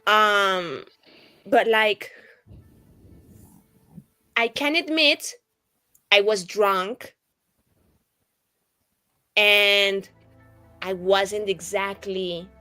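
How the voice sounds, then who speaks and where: A woman's voice: medium pitch, drawn-out words, pauses often, bright, forceful tone, faint music behind. A woman voicing a brash, foul-mouthed young female mage of twenty-two in a rambling, dramatic tabletop roleplay. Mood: petulant. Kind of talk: improvised in-character roleplay.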